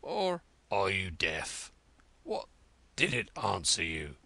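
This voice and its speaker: A man voicing a very old male frog who talks in a slow, hoarse, whispery drawl. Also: deep voice, huge pitch swings, sharp tone, dry recording, voice pushed down, exaggerated voice. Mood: grumpy.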